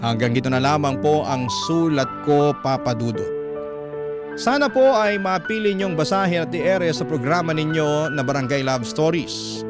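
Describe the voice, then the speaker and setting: Filipino male radio narrator over loud music. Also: on the high side. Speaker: radio host reading listener letters. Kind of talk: dramatic, heartfelt letter narration. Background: loud musical bed.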